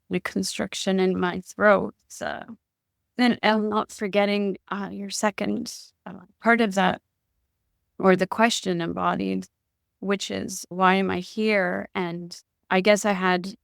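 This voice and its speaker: A middle-aged woman speaking as a podcast guest, candid and emotional, pausing and correcting herself as she thinks aloud. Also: medium pitch, little pitch movement, creaky, smooth, dry recording, studio quality. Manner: thoughtful, candid and emotional, with pauses and self-corrections. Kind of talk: reflective interview conversation.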